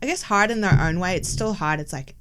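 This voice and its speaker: A woman's voice: on the low side, lively intonation, fairly quick, clear, resonant tone. A young woman from Hong Kong, raised in Melbourne, chatting casually as a podcast guest and filling her talk with "like". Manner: chatty, many "like" fillers, laughs often. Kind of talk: casual interview chat.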